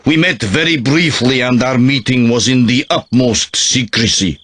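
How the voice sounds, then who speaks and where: An actor playing a calm, secretive older male colonel who speaks in a measured, reassuring way. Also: medium pitch, lightly acted.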